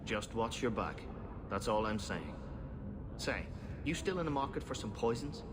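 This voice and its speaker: An actor playing a shady, chummy underworld poison mixer in a fantasy video game, talking in a casual, clipped, familiar way. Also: male voice, big pitch swings, normal speed. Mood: chummy, sly. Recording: loud ambient rumble under the voice.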